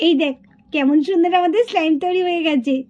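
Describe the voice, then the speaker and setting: A woman voicing an excited, chatty little schoolgirl doll in a children's puppet show. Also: extremely high pitch, fast talker, highs cut off. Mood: excited, instructive.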